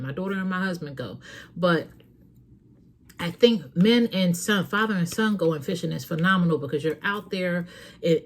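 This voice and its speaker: Low-voiced woman giving frank advice. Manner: earnest, preachy, frank; talks straight to her listeners. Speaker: host. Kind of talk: casual advice talk.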